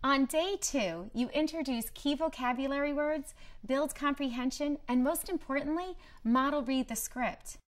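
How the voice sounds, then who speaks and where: High-voiced woman narrating instructional video. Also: normal speed. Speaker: presenter of a promotional training video.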